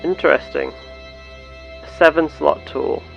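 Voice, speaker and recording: A man playing a genteel space captain and explorer, speaking in formal, measured, old-fashioned wording as he narrates a game in character. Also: fairly high pitch, steady tone, vocal fry, a bit bright, lo-fi sound, faint music behind, heavily acted. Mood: deliberate.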